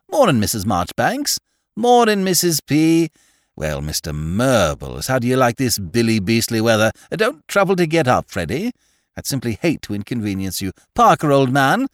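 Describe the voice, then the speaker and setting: A man's voice: mid-range voice, no room sound, studio quality, pitched above the performer's own voice. A man voicing a cheerful young aristocrat with an upper-class English accent, chattering in slangy, flippant exclamations in an audiobook. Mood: cheerful, breezy. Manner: chirpy, slangy, rattling on with exclamations.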